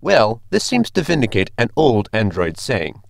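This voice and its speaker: A man's voice: medium pitch, huge pitch swings, moderate pace, vocal fry, no echo, clean studio sound. An actor playing a polite, dryly logical male android crewman in a formal, precise manner for a sci-fi comedy audio drama. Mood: matter-of-fact.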